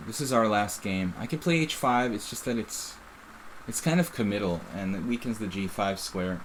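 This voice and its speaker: A middle-aged man with a faint Philadelphia accent and a fairly low voice talks through a chess game as he plays. He rambles casually and breaks off his own sentences to restart them. A faint hiss of noise sits under the voice. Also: normal speed, poor audio. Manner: casual, rambling, self-interrupting. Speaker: chess streamer. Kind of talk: live chess commentary.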